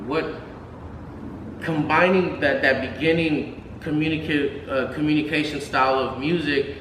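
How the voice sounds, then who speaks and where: A man's voice: a little high, steady tone, normal speed. A man in his thirties from Houston, Texas, a music producer chatting as a guest, rambling with many fillers and restarts. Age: 30s. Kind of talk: casual interview chat. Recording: roomy, distant mic, faint noise.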